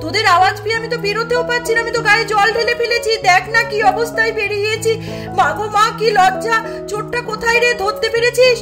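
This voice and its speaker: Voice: very high voice, steady tone, fast, over music. A woman voicing a flustered but fair-minded village mother in a doll story.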